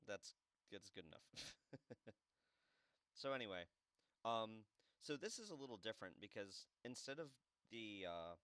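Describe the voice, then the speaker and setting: Guy doing casual lets play commentary, lowish crisp voice, dry close sound. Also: sing-song, measured pace, fairly bright tone, no room sound. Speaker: host of a game playthrough.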